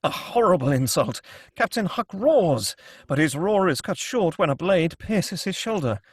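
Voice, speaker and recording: A man's voice: high-pitched, animated, unhurried, breathy edge, no room sound. A man reading scripted screenplay action and scene headings as the narrator of a table read. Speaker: narrator reading stage directions.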